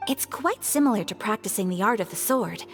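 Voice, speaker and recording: A woman's voice: medium pitch, animated, fairly quick, soft background music, natural voice. A woman playing a gracious, modest young swordswoman in a video game, speaking with refined, composed politeness.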